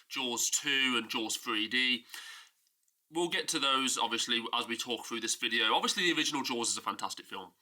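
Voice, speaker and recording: A man's voice: mid-range voice, bright, forceful tone. Man ranting about a film with a cold. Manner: exasperated, emphatic, mocking. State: stuffy nose from a cold. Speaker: film review YouTuber. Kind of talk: casual film rant.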